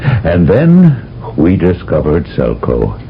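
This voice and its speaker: A solemn military general who lectures in slow, measured phrases. His voice sits very deep. It comes through a dull, lo-fi old radio broadcast with faint hiss. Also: wildly swooping pitch, full character voice. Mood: grave. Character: grave older male general, commanding, briefing an agent. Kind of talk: 1970s radio drama acting.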